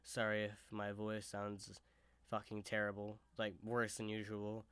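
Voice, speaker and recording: A young man with a lowish, smooth voice tells a story in a casual, conversational way. He is sick, with a sore throat and stuffy sinuses, and the sound is close and dry. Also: completely flat delivery, a little slow, a bit bright, no echo. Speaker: YouTuber. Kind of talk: storytelling vlog.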